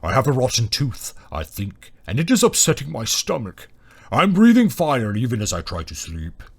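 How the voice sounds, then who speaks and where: A man voicing a huge old male dragon, cranky and wry, with a deep, booming, slow delivery for a fantasy story. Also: huge pitch swings, gravelly, slightly rough, full character voice. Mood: weary, cranky.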